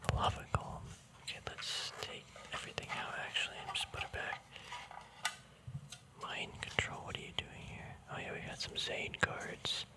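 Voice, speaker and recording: A man speaking in a soft, breathy whisper in a casual, relaxed ASMR style, thinking aloud close to the microphone. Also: moderate pace. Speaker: ASMR video creator. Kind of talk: whispered ASMR, casual rambling card showcase.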